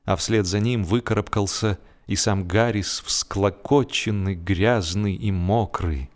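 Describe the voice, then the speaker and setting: A man reading a humorous story as an expressive, scripted narration, in a measured, theatrical storytelling manner. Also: lowish voice. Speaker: audiobook narrator. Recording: music behind the voice. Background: music.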